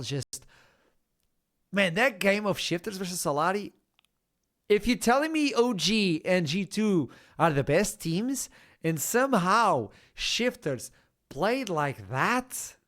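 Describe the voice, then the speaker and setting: Excitable esports caster. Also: male voice, high-pitched. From Portugal. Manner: excitable, builds to shouts at big plays. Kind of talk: play-by-play esports commentary.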